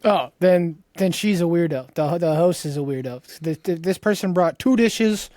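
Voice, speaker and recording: A man's voice: fairly high pitch, normal speed, dark tone, no echo, studio quality. A man in his thirties chatting casually as a podcast co-host, blunt and free with swearing. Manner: blunt, profane. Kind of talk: casual chat.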